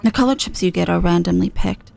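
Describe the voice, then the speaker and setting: A woman voicing a cool, level-headed video game guide in a measured, matter-of-fact deadpan. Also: lowish voice, gravelly, pitched below the performer's own voice.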